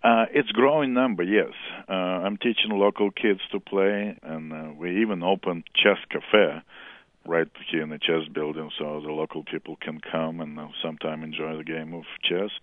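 A deep-voiced man with a strong Russian accent speaks in halting, earnest English. He comes through a tinny, phone-like line with no room sound around him. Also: fairly even intonation, no room sound. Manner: earnest, halting non-native English with some laughter. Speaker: chess school director. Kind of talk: phone interview.

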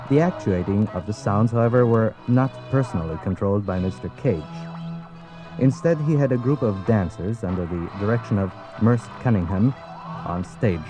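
Low-voiced male narrator over music. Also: moderate pace, poor audio. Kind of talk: scripted narration. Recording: music behind the voice.

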